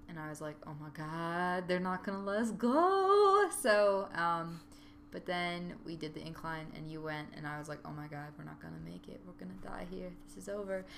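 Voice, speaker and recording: A woman's voice: fairly low pitch, normal speed, creaky, a little hiss or hum. A woman chatting casually and animatedly about a hike, acting out her own reactions. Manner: animated storytelling, acts out her own quotes. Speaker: hiker recounting a trip. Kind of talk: casual chat.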